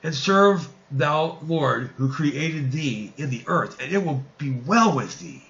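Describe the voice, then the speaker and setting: A man voicing an earnest, urgent biblical patriarch in a scripture reading, slow, emphatic and declamatory. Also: a little high, expressive, fairly bright tone, highs cut off, exaggerated voice. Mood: urgent pleading. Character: earnest, urgent biblical patriarch Abram pleading with his father.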